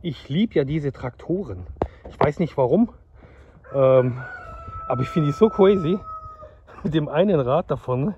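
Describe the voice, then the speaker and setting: Man, 30s, casual vlogger, higher register, enthusiastic, phone mic with clear outdoor noise. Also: lively intonation, a little slow, pauses often, warm tone, dull audio. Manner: chatty, enthusiastic, addresses viewers directly. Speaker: classic car dealer. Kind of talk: casual vlog commentary. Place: outdoors in a junkyard and inside a car.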